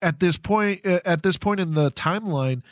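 A man co-hosting a casual podcast, explaining his ideas haltingly, with many restarts. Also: high-pitched, no echo, studio quality. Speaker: podcast co-host.

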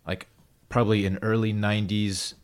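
A man's voice: fairly low pitch, moderate pace. A man hosting a casual podcast, telling stories with eager, jokey energy. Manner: animated storyteller, eager and jokey. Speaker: podcast host.

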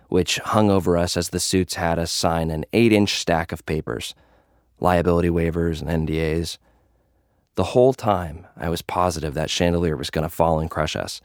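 Young gladiator influencer, low-pitched, dry studio sound, jaded, tough front, slangy, confessional audio drama narration. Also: male voice, no room sound.

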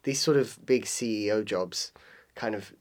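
Man with a cold, nasal and hesitant. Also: fairly low pitch. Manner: hesitant, measured. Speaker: podcast guest. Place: radio studio.

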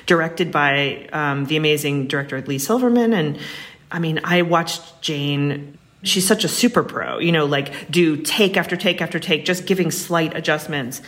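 A woman's voice: deep voice, moderate pace, fairly bright tone. A woman writer talking as an interview guest, discursive and enthusiastic in casual conversation.

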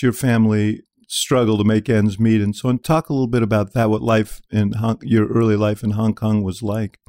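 An American man hosting an interview podcast, speaking in a measured, earnest way. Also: lowish voice, a little mellow. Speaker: podcast host.

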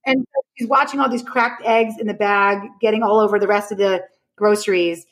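A woman's voice: medium pitch, fairly even intonation, a little fast, rough recording. A woman explaining her ideas conversationally as a podcast guest, telling anecdotes emphatically and acting out reported dialogue.

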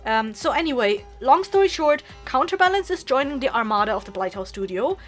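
A woman's voice: sits high, very animated, fairly quick, faint music behind. A woman hosting a podcast, giving announcements to listeners in a chatty, informal, upbeat way. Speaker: podcast creator and host. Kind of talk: casual scripted announcement to listeners.

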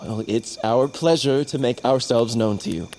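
A man's voice: medium pitch, moderate pace, a little mellow, a little hiss or hum, lightly acted. An actor in an audio drama playing a nervous, earnest young tribesman who stammers and pleads. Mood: polite, awkward.

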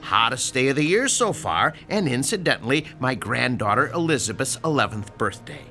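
A man's voice: medium pitch, big pitch swings, moderate pace, soft background music. An actor playing a pushy, sarcastic older landlord in a radio drama, lecturing his tenant about rent. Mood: mock-sentimental. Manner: sarcastic, lecturing, theatrical swings from shouting to hushed.

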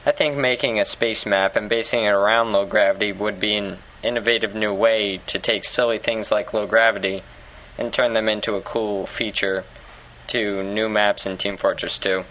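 Male listener, lowish voice, recording a prepared question for a podcast. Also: fairly even intonation, normal speed, sharp tone, low-quality recording.

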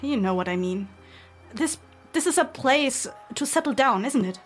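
A woman playing a warm, perceptive, teasing friend in an audio drama, familiar and gently probing. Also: sits high, animated, normal speed, soft background music. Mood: tender, earnest. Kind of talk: acted fan fiction audio drama.

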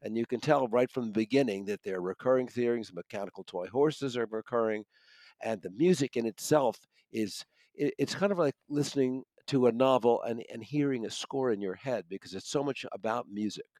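A man with an American accent discussing books as a reviewer, halting and repeating words as he searches for phrasing. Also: mid-range voice, darkish tone, no echo. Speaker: book reviewer, regular guest. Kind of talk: unscripted book discussion.

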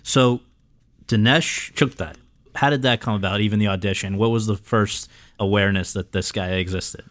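A man's voice: fairly low pitch, steady tone, normal speed. A man hosting an interview, asking long, carefully built questions and giving short replies between answers.

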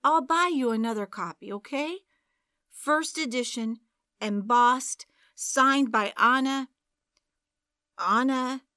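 A woman's voice: sits high, takes it slowly, long pauses, bright, forceful tone, clear, resonant tone, dry recording, clean studio sound, pitched above the performer's own voice, full character voice. A woman voicing a defensive young man who apologizes while brushing off complaints. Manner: apologetic then dismissive, wheedling excuses. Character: defensive young adult man, sheepish boyfriend.

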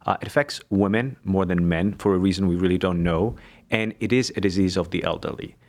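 A man from Egypt, a neurologist, giving measured technical explanations as a guest on a medical podcast. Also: low voice, darkish tone. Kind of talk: expert medical interview.

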